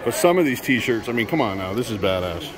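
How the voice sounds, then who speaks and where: A man's voice: medium pitch, very animated, fairly quick, crowd noise. A middle-aged man giving casual, slangy vlog commentary, recorded on a handheld camera in a large store. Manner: informal slangy exclamations. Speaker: vlogger. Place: large busy retail store. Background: music.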